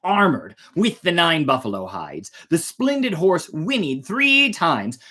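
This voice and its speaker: A storyteller reads a fairytale in a mid-range voice with highly animated intonation. The delivery mixes dramatic narration with casual, informal asides. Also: measured pace. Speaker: storyteller, host.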